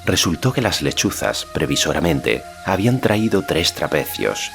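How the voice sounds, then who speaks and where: A man narrating a fantasy audiobook in a measured storytelling manner. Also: deep voice, sing-song, gravelly, music in the background. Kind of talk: scripted narration of a fantasy novel.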